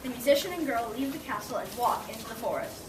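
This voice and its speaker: A woman's voice: on the high side, expressive, fast. A young woman narrating a fairy-tale puppet show for children in storybook style. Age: young adult. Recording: echoey room, distant mic, noisy background.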